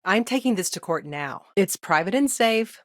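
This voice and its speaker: A woman playing a pragmatic, guarded lawyer in a video game, speaking in a measured, matter-of-fact way with dry wryness. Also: medium pitch, expressive, dry recording, natural voice. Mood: businesslike. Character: businesslike, wry female lawyer, pragmatic and guarded. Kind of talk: scripted game dialogue, conversational.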